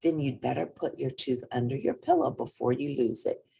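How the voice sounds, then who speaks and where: A woman voicing a calm, patient mother rabbit in a storybook, gently reassuring and explaining. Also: rumbling low, moderate pace, creaky, husky, full character voice.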